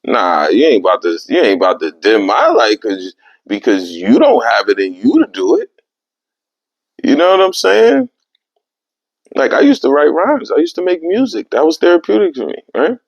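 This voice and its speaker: A young man hosting his own podcast, talking in a casual, animated way with streetwise slang. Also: mid-range voice, moderate pace, vocal fry, no room sound. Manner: animated, streetwise slang, repeats "you know what I mean". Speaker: podcast host. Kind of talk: casual solo podcast monologue. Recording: dry, slightly dull lo-fi sound.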